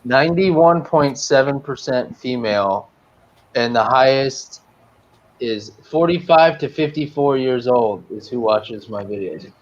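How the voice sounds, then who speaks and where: A man in his late twenties chatting on a livestream, halting and full of fillers, laughing easily. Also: mid-range voice, lively intonation, muffled recording. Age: 20s. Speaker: livestream co-host. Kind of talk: casual livestream chat.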